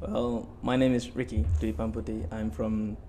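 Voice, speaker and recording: A man's voice: lowish voice, little pitch movement, moderate pace, dark tone. Hesitant teenage male student, noisy background. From Bali, Indonesia. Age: late teens. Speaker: student podcast guest. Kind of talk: casual interview answers.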